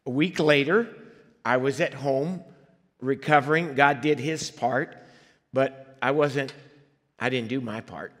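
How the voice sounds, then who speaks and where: Male guest preacher raised in Liberia, middle pitch, earnest testimony, long church reverb. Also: takes it slowly, long pauses, fairly bright tone. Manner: conversational, earnest storytelling with audience asides. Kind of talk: personal testimony in a sermon. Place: church sanctuary.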